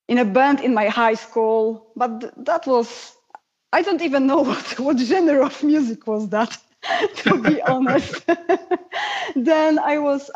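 A woman's voice: fairly high pitch, a little mellow, dull audio. A woman with a Polish accent, a guest musician telling her story in a casual, chatty interview. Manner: chatty storyteller, laughs easily.

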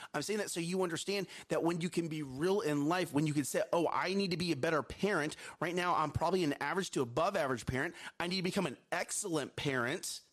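A man's voice: fairly high pitch, fairly bright tone. A man in his thirties co-hosting a live morning show, giving an earnest motivational talk with a strong voice, often repeating and restarting words. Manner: strong voice that carries.

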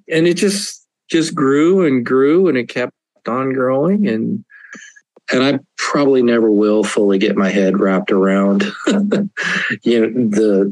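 A man's voice: medium pitch, darkish tone, no room sound, rough recording. An older man, an author, talking earnestly and reflectively as an interview guest, often laughing. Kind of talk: casual interview talk.

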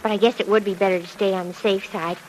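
An actress playing a naive, excitable young housemaid in a 1940s radio drama, talking in a breathless, wide-eyed way. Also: female voice, medium pitch, quick pace, a little hiss or hum, natural voice, rough recording. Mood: chatty. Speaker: young maid.

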